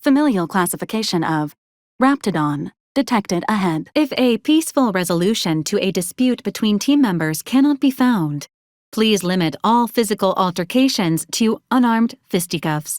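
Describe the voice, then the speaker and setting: Actress doing a polite officious robot lady from a game, clinical n literal, measured delivery, dry close sound. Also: mid-range voice, animated, no room sound, lightly acted. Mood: flat, procedural. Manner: formal, measured, robotic protocol announcements. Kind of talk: video game character voice lines.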